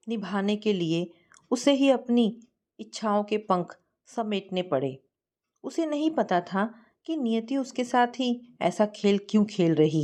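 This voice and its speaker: Female storyteller, lively melodic range, scripted narration of emotional family drama. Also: medium pitch.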